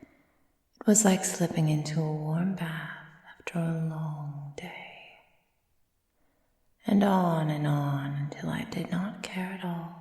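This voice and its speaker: Woman acting slow, whispery seductive vampire woman. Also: low voice, mellow, heavily acted. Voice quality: breathy, mostly whispered. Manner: slow, deliberate, theatrical, teasing. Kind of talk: ASMR roleplay, intimate monologue. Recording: roomy sound with long reverb.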